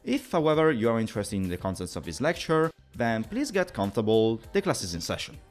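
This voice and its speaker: Guy doing a scripted explainer lecture, pitch moves around a lot, bit wry, faint music behind him. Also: sing-song, quick pace. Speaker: presenter of an introductory explainer video. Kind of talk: scripted lecture-style narration.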